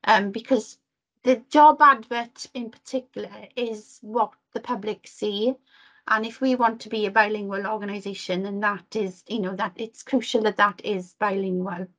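A woman's voice: mid-range voice, fairly even intonation, moderate pace, fairly bright tone, low-quality recording. A woman from Wales giving an earnest work report on a video call, with frequent ums and restarts. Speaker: Welsh language officer reporting to committee.

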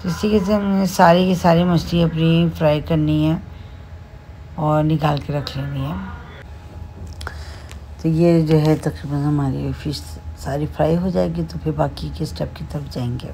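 A woman with a Pakistani Urdu accent hosting a home cooking tutorial, explaining each step in an unhurried, homely way with many fillers. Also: sits low, steady tone, dark tone, a little hiss or hum, poor audio. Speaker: cooking channel host. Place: home kitchen.

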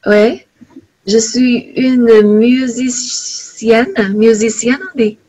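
A woman from China, introducing herself haltingly in a casual online class on a video call. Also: on the high side, fairly even intonation, dark tone, slight background noise, rough recording. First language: Chinese. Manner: hesitant, searching for words. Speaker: learner and organizer.

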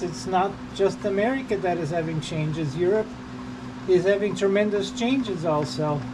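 A man from Portugal, chatting casually in a halting, rambling, unscripted vlog style while he works. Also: high-pitched. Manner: halting, rambling, repeats himself. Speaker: vlogger. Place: working on a car during an oil change. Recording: noisy, with vehicle and ambient hum.

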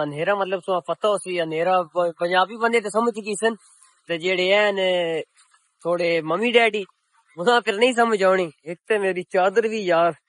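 A young man with a Punjabi accent talks straight to his viewers while walking through open countryside, holding his phone at arm's length. His voice sits fairly high for a man, and the recording is close and dry, with little room sound. He keeps an easy, chatty manner throughout. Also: fairly bright tone, dry recording. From Pakistan. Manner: chatty, addresses viewers as public. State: recovering sore throat. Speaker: village vlogger. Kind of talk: casual selfie vlog. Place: open countryside outdoors on a cloudy, windy day. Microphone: phone held at arm's length.

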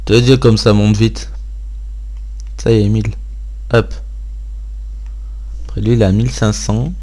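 A man's voice: lowish voice, flat delivery, unhurried, warm tone. A man hosting a gaming video, giving casual gameplay commentary and thinking aloud in an informal way.

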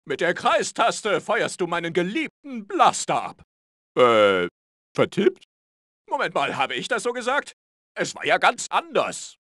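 An actor playing a vain, bragging cartoon space superhero in a video game, pompous and theatrical, with bumbling bravado. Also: male voice, high-pitched, wildly swooping pitch, measured pace, a bit bright, no room sound, studio quality, full character voice. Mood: flustered. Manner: pompous, boastful, stammering when caught out.

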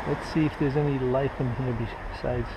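A man's voice: mid-range voice, flat delivery. A man filming himself as he explores a rocky sea cave, talking casually to himself. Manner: muttering remarks to himself, plain and unhurried. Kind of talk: casual spoken commentary while filming. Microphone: head-mounted action camera. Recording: outdoor camera audio with clear background noise.

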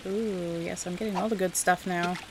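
Low, creaky-voiced woman gamer. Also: steady tone, normal speed, noisy background. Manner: chatty, talks aloud to game characters. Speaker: gaming video host. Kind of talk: casual gameplay commentary.

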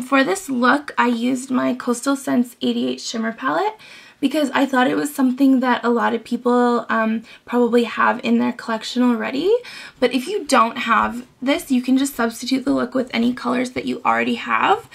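Hoarse, high-voiced young woman tutoring makeup. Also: moderate pace. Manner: chatty, instructive, frequent "um"s. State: hoarse from illness, losing her voice. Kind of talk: step-by-step beauty tutorial. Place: small bedroom indoors.

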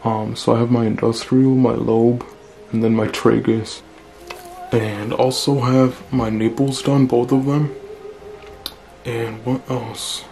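A man in his twenties with a deep voice, chatting casually through a Q&A vlog in a small room, blunt and relaxed. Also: slow pace, gravelly, faint music behind. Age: 20s. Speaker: vlogger.

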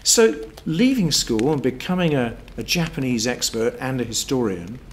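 Middle-aged man, very lively intonation. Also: medium pitch, moderate pace, a little hiss or hum. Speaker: interviewer. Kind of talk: formal scripted interview questions. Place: wood-panelled room, indoors.

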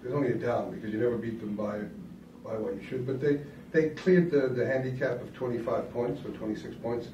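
A middle-aged man from Wales hosting a casual rugby chat in a small studio, relaxed and chatty, often repeating words as he thinks. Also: mid-range voice, brisk, recorded from a distance, lo-fi sound, a little hiss or hum. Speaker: host. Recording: roomy studio sound, mic set back.

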